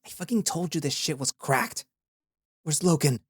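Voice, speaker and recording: A man voicing a young, brash female honey badger warrior who swears bluntly, higher than his own voice. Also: normal speed, husky, no echo, studio quality. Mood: grumbling.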